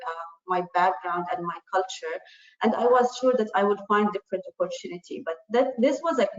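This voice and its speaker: A woman whose first language is Arabic speaks earnestly in a panel discussion, pausing on fillers and restarting her sentences. Her voice sits mid-range and sounds muffled and hollow, as though she is in an echoey room. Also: mid-range voice. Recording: muffled, roomy.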